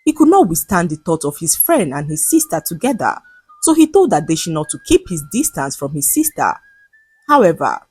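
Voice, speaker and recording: A woman narrates a folktale with a lowish, husky voice that rises and falls widely. Her delivery is measured and dramatic, like a practised storyteller. The recording is close and dry, with faint music underneath. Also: darkish tone, dry recording. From Nigeria.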